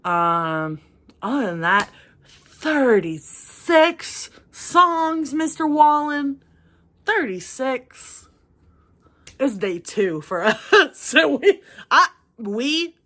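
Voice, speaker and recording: A woman's voice: fairly high pitch, sing-song, slow, fairly bright tone. A woman from rural Texas co-hosting a casual album review, blunt and profane, laughing often. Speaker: music review co-host.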